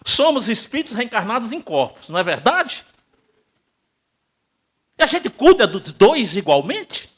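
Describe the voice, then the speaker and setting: A man's voice: high-pitched, sing-song, unhurried, long pauses. Older man, emphatic spiritist lecturer. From Brazil. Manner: emphatic, rhetorical questions, swings between loud and hushed. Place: spiritist center hall, live audience.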